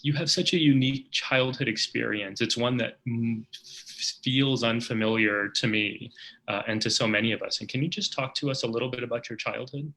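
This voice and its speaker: Bright-voiced, earnest male interview host. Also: medium pitch, normal speed, poor audio. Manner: earnest, with many fillers and restarts.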